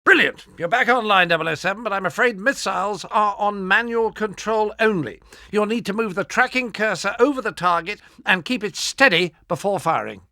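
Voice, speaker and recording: A fussy gadget expert, nagging a spy in a video game. The voice is high for a man and bright, prim and dryly sarcastic, in a close, dry recording. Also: moderate pace, no echo, exaggerated voice. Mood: brisk, exasperated. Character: fussy, exasperated male gadget master advising a spy. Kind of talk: scripted video game voice acting.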